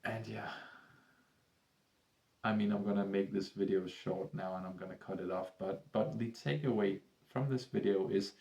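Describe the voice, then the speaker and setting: A man with a Danish accent, a tutor speaking English as a second language and pitching one-on-one lessons to camera in a rambling, salesy way full of restarts. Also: fairly even intonation, normal speed, darkish tone, roomy sound, muffled recording. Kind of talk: persuasive talk to camera.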